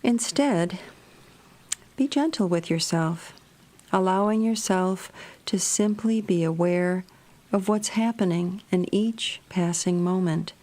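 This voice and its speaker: A woman narrating a guided meditation in a gentle, measured, soothing manner. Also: lowish voice.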